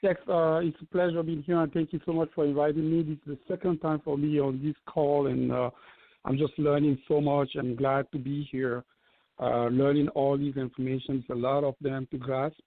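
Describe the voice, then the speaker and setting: A man's voice: fairly high pitch, little pitch movement, normal speed, no echo. Man, fifties, persuasive, dry close sound, phone-line audio. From Cameroon. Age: 50s. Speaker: guest speaker. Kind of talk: testimonial pitch on a call. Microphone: call-in line. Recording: tinny phone-like call audio.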